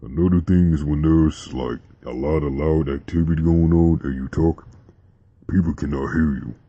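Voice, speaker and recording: A man's voice: rumbling low, moderate pace, breathy edge. A young man talking casually and rambling a little, using slang as he chats to his viewers. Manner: relaxed, rambling, with fillers and slang. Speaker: vlogger. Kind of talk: casual talk to camera about deep voices. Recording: dull lo-fi sound.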